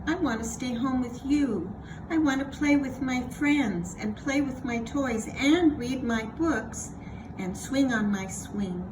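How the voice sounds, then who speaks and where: Storybook role: anxious young boy raccoon, played by a woman, high and childlike, pleading, with clear background noise. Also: slow pace.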